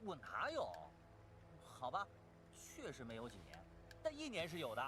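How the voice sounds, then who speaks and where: An actor playing a chatty, theatrical young man in an animated costume drama, with music behind him. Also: fairly high pitch, moderate pace, background noise. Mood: lamenting, animated. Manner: theatrical, gossipy. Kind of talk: animated drama dub acting. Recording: played episode with music behind.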